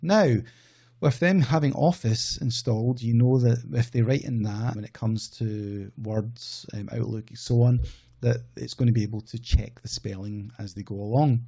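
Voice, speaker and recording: A man's voice: mid-range voice, steady tone, normal speed, vocal fry, warm tone, husky. A man with a Scottish accent, hosting his own channel and talking casually to camera, rambling and correcting himself as he goes. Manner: rambling, self-correcting, conversational. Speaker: outsourcing business channel host.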